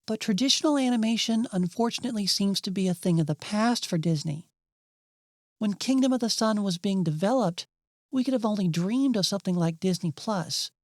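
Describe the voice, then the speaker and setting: Mellow woman narrating a video essay. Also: medium pitch, no room sound, studio quality. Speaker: narrator and host.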